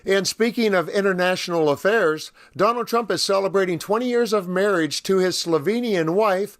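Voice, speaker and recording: Guy with a high, slightly rough voice doing sarcastic politics commentary, wry and mocking. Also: moderate pace, a little hiss or hum. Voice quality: somewhat rough voice, as the speaker says. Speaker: commentary host.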